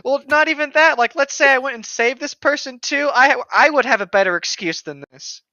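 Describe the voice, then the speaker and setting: A woman's voice: high voice, a little fast, pushed and bright, no echo, dull audio. A woman co-hosting a casual read-aloud podcast, mocking the book with sarcastic, irreverent commentary and plenty of swearing. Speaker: podcast co-host reading a novel aloud with commentary.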